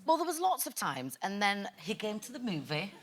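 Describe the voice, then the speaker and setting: A woman's voice: medium pitch, fairly quick, slight background noise. A woman talk show guest telling a funny anecdote, laughing as she goes. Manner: animated, laughing storyteller.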